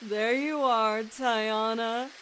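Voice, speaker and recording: A slow, mocking villainess. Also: female voice, fairly high pitch, a bit bright. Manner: taunting, unhurried. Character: menacing woman villain, mocking and smug. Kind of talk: audio drama acting. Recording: clear hiss and rain noise. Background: rain.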